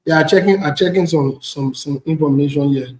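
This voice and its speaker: A man's voice: fairly high pitch, a little fast, darkish tone. Indignant West African man in an echoey room. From Ivory Coast. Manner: indignant, blunt, repeats phrases for emphasis. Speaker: online political commentator. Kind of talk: unscripted political rant.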